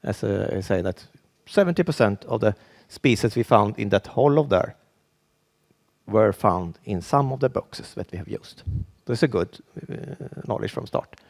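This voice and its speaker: A Swedish man with a Swedish accent, giving a conference presentation in English as a second language, halting and full of restarts. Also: lowish voice, expressive, gravelly, a little mellow. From Sweden. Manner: halting, with frequent restarts and fillers. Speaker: conference speaker.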